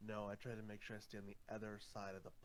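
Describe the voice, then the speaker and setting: A man's voice: lowish voice, completely flat delivery, very fast, close to an everyday voice. A man voicing an edgy, brooding jackal villain who is vengeful, sardonic and theatrical, in a fan comic dub.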